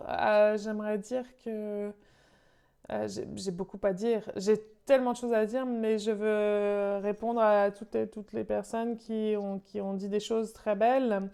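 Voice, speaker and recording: Female live interpreter on a call; unhurried, halting delivery, warm clean voice. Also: medium pitch, level tone, vocal fry, clean tone. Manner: halting, searching for words. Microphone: video call.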